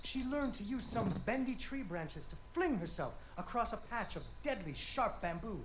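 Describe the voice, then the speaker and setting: An actor playing a parent reading a fairy tale to a child, patient and gently teaching, in scripted storybook narration. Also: medium pitch, lively intonation, normal speed, noisy background, natural voice. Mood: engaged storytelling. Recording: game audio with music behind.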